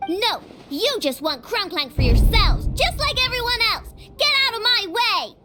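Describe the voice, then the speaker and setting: A woman's voice: very high-pitched, very animated, normal speed. A woman voicing a small, feisty young girl inventor, loyal and earnest, in a video game. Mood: defiant, indignant. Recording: game music behind the voice.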